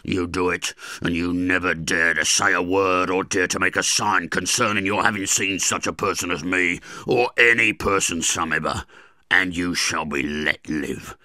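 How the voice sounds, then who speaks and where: A man voicing a rough, menacing escaped convict who speaks in rustic dialect with slow threatening emphasis, in a dramatized book reading. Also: low voice, fairly even intonation, pushed and bright, no echo.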